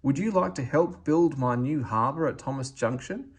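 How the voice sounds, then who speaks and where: A man voicing an authoritative but kindly railway boss in a storybook, brisk and commanding. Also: medium pitch, lively intonation, breathy edge, voice pushed up. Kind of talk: storybook character voice.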